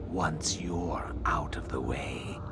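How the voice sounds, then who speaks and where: An actor playing a menacing orc necromancer, a calm zealot of the dead, ominous and deliberate, in a fantasy game. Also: male voice, rumbling low, noisy background, exaggerated voice. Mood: fanatical, defiant. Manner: ominous, deliberate, drops into whispers. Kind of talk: fantasy game villain acting. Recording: loud music behind. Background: loud music.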